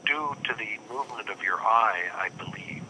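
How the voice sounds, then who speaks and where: A man's voice: fairly low pitch, normal speed, sharp tone, a little hiss or hum. A man answering a UFO reporting hotline on a phone call, patient and formal, often saying "sir" and "please".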